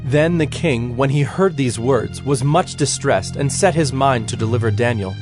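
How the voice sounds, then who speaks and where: A man narrating scripture as a formal, measured storyteller in a dramatized production. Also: mid-range voice, darkish tone. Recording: music bed behind the voice.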